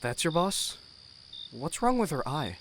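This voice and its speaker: A man's voice: fairly high pitch, very animated, measured pace, background noise. A man playing a young, uneasy crew member in an audio drama, earnest, nervous and defensive. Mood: wary, defensive. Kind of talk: scripted audio drama acting.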